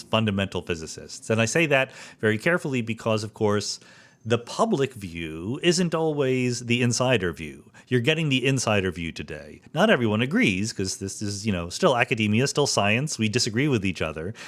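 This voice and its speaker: A middle-aged man hosting a podcast interview, speaking in an articulate, measured way with lively questions. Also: fairly low pitch. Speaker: podcast host.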